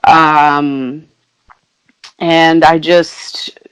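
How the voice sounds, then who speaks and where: A woman in her thirties, originally from Brazil, talks as a podcast guest in a loose, chatty way with plenty of "um"s and self-deprecating asides. Her voice is smooth and resonant. The sound is close and dry. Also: low voice, flat delivery, drawn-out words, no echo, poor audio. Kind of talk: casual conversational interview.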